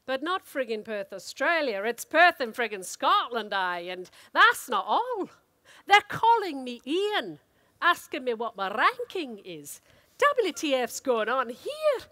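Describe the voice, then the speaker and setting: A woman performing a dramatic, expressive reading of a comic story as an actor. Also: high-pitched, a little slow, no room sound, heavily acted. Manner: theatrical, expressive delivery.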